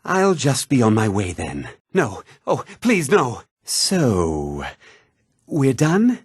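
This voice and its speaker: An actor playing a cowardly, anxious informant in a fantasy game, with a pleading manner. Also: male voice, mid-range voice, wildly swooping pitch, slow pace, slightly rough, no echo, studio quality, full character voice. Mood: nervous, pleading. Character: nervous, cowardly male informant pleading for himself.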